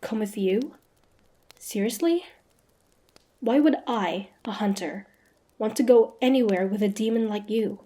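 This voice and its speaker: A woman playing a wary, sharp-tongued young demon hunter with a prickly but grudgingly softening manner, in a fantasy roleplay audio drama. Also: mid-range voice, measured pace, long pauses, lightly acted. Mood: uncertain, wary. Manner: guarded, sharp-tongued, tsundere.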